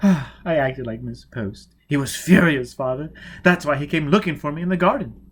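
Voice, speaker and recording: Man acting a breathless, excitable rich young daughter. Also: on the high side, moderate pace, husky. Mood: breathless excitement. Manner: breathless, girlish, dramatic. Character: sheltered, excitable rich young blind daughter, enthralled by danger.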